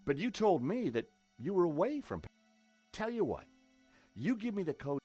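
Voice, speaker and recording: A man's voice: high-pitched, sing-song, normal speed, warm tone, slightly rough, no echo, dull audio, faint music behind, heavily acted. An actor playing a wry, inquisitive insurance investigator in a video game, conversational in his questioning and narration. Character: wry, inquisitive male insurance investigator, easygoing amateur sleuth.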